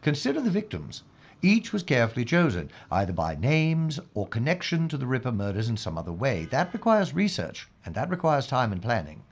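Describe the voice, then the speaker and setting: An actor playing an earnest, methodical police inspector in an audio drama, speaking formally and deliberately with slight hesitations. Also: male voice, mid-range voice, huge pitch swings, lo-fi sound. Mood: measured.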